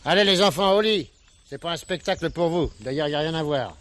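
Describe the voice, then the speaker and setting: An actor as a fast, commanding police chief. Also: male voice, a little high, a bit bright, close to an everyday voice. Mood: brisk, commanding. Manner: commanding, businesslike. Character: brisk, authoritative police brigade chief. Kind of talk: French television drama acting.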